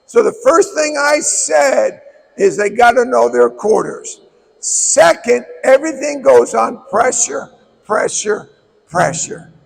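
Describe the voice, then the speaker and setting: A middle-aged man with a hoarse voice, a basketball coach giving a clinic talk in a blunt, emphatic way that repeats key words. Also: very high voice, lively intonation, slow pace, faint music behind, poor audio.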